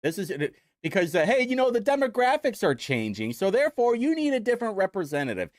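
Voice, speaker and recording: A man's voice: sits high, huge pitch swings, fast, dry recording, clean studio sound. A young man from Indiana, hosting a casual commentary show in a sarcastic, mocking way. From Indiana, United States. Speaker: host.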